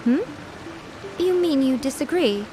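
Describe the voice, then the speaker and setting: Sly, highish-voiced fox-like shrine maiden. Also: female voice, lively intonation, measured pace, over loud music, low-quality recording. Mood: smug, teasing. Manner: poised, teasing, condescending. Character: sly, haughty female yokai shrine maiden. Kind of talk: anime game voice acting.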